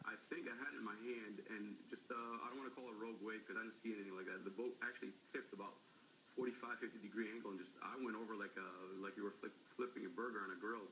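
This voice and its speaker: A man in his fifties with a raspy voice, a guest on a live TV interview, talking in a halting way with many fillers. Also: lowish voice, bright, forceful tone, a little hiss or hum, low-quality recording. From Florida, United States. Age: 50s.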